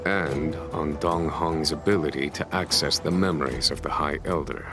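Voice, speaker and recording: A low-pitched, composed general from a video game, commanding yet gravely courteous. His delivery is formal and measured, with music playing clearly beneath the voice. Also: fairly even intonation. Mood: solemn. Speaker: voice actor in a game cutscene. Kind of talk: scripted game dialogue.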